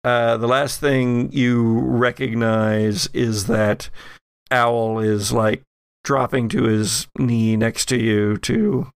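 Slow, creaky-voiced man running a game. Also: mid-range voice, little pitch movement, no room sound. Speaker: game master. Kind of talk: narrating a tabletop horror game.

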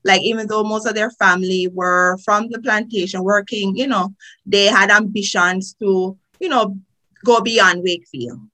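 A woman's voice: medium pitch, steady tone, moderate pace, pushed and bright, rough recording. A woman with a Jamaican accent, a lawyer guest on a podcast, telling stories in a chatty way with many fillers. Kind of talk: podcast interview.

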